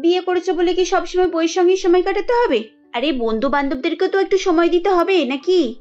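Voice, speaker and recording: A woman's voice: very high-pitched, brisk, a little mellow, lo-fi sound, soft background music. A woman voicing a young Bengali housewife in a family cartoon, with an emphatic, reproachful way of talking. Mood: persuasive, insistent. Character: young Bengali housewife Kajal, dutiful but quick to sulk and scold.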